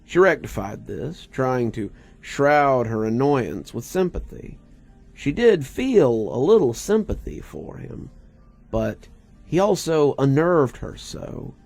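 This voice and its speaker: A man narrates a story slowly and dramatically, with plenty of gravelly fry in a mid-pitched voice. Music runs underneath the narration. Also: very animated, darkish tone.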